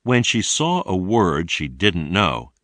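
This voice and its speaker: A man reading scripted narration for an English language lesson in a deliberate, carefully enunciated way. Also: sits low, sing-song, fairly bright tone, no room sound.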